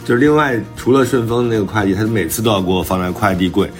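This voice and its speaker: 一位男性脱口秀主播，轻松随意地聊天，爱讲自己的小故事和吐槽。. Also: male voice, fairly low pitch, quick pace, faint music behind. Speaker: podcast co-host and author. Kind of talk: casual banter on a talk show.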